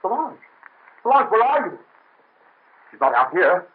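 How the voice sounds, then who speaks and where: Haunted doctor, husky and animated, tinny old radio. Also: male voice, high voice, a little slow, slight background noise. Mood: frantic. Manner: fretful, pleading. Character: anxious male physician, haunted and desperate. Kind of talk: 1930s radio drama.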